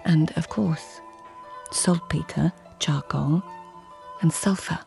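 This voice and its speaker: Low, creaky-voiced, witty court lady. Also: slow pace, dark tone, faint music behind, full character voice. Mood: wry, declaiming. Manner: precise, dry, elegant. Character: witty, haughty court lady poet and narrator. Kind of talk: radio drama narration and acting.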